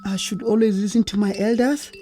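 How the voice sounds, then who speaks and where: A woman's voice: medium pitch, fairly even intonation, fairly quick, dark tone, faint music behind, close to an everyday voice. A woman with a South African accent playing a lazy, apologetic small male dassie in children's audio theatre, stammering and pleading. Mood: sheepish.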